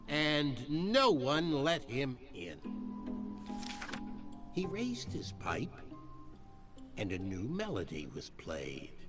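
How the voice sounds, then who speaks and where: An actor playing a theatrical storybook narrator, telling a dark fable in a deliberate, dramatic way. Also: male voice, medium pitch. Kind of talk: theatrical scripted fairy-tale narration.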